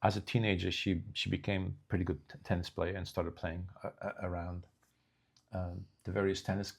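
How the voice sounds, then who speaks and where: Deep-voiced older man, halting and unhurried. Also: little pitch movement, gravelly. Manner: slow, halting delivery with many restarts. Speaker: co-host. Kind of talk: casual chat.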